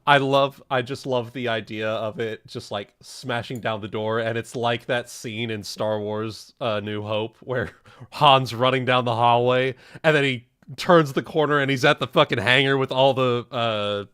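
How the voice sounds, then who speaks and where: A man's voice: mid-range voice, steady tone, a little slow, fairly bright tone, smooth. A man playing a tabletop game, chatting casually at the table.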